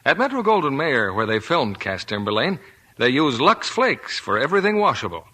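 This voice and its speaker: A man announcing and pitching products in scripted 1940s radio style. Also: very animated, normal speed, sharp tone. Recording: 1940s radio broadcast, crowd behind.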